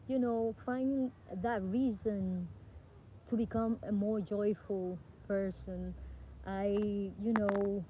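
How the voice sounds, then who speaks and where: A singer-songwriter woman originally from the Dominican Republic, chatting casually to camera and rambling with self-corrections. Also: a little high. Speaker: singer-songwriter and music producer. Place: outdoors with flies around. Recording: dull lo-fi sound with faint background noise.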